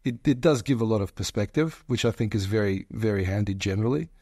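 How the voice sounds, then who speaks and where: A man's voice: lowish voice, fast, a little mellow, no room sound, clean studio sound. Rambling man with an Australian accent. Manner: hesitant, many restarts, long-winded. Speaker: guest, investor. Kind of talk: casual interview chat.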